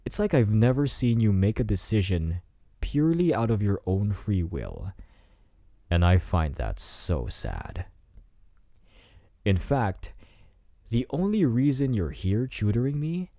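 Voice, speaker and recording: A man's voice: lowish voice, sing-song, measured pace, mellow, no echo, studio quality. A man playing a cocky, teasing teenage delinquent student in an ASMR roleplay, with a smug, taunting delivery. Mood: teasing, softening, then earnest, probing.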